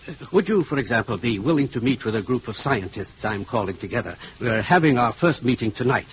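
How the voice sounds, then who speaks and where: Man as a courteous, inquisitive professor, animated intonation. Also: medium pitch, lightly acted. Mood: eager, cautious. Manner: hesitant, deliberate, courteous. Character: learned, inquisitive male professor, courteous and hesitant. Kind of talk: 1950s radio drama acting. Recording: dull, hissy 1950s radio recording.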